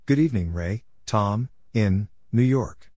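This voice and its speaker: A man reading scripted practice material as a narrator, measured and spelling out letters and numbers one at a time. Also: fairly low pitch, expressive, darkish tone, slight background noise. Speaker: narrator of Morse code practice. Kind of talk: scripted readout of callsigns and exchanges.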